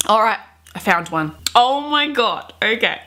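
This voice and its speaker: A woman's voice: on the high side, animated, a little slow, bright, forceful tone, faint music behind. Chatty, wry young woman vlogger. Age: 20s. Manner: chatty, wry, swears casually. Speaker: book vlogger. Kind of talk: casual chat mixed with dramatic readings of fan fiction. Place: small bedroom, indoors.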